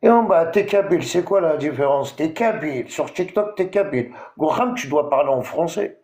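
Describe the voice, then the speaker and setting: A man's voice: on the high side, animated, normal speed, a little mellow, echoey room. A man from Algeria, hosting a TikTok live and ranting at someone with many hesitations. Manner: confrontational, repetitive, full of hesitations. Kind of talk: heated live-stream rant.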